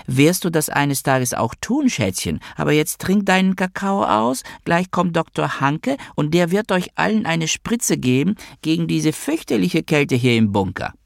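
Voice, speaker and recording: A man voicing a doting yet sinister mother with a high feminine voice, coaxing in a sugary way for an audiobook. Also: sing-song, measured pace, vocal fry, few pauses, dry recording, studio quality, exaggerated voice. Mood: sweetly coaxing. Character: doting, sinister mother soothing her children. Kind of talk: audiobook character voice.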